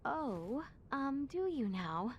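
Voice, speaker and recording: Poised, formal young princess. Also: female voice, high-pitched, very animated, muffled recording, a little hiss or hum, heavily acted. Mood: gracious. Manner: formal, refined, measured politeness. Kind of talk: video game cutscene acting. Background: music.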